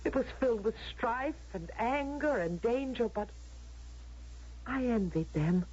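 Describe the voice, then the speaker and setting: An actress playing an anguished, restless young rebel in a radio drama, halting and repeating words with impassioned feeling. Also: female voice, a little high, darkish tone, breathy edge. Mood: yearning, impassioned. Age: young adult. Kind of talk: old-time radio drama acting. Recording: dull lo-fi radio drama recording.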